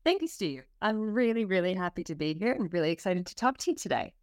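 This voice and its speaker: Canadian woman, podcast guest, lower register, bright and chatty, dry close sound. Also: sing-song, fast, no room sound. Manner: upbeat and chatty, with small fillers. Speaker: guest security specialist. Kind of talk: podcast interview.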